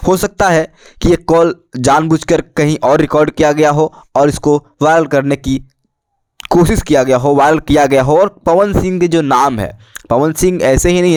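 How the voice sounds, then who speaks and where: A man's voice: on the high side, a little mellow, no echo. A man presenting news commentary for an online channel, explaining things to viewers and posing rhetorical questions.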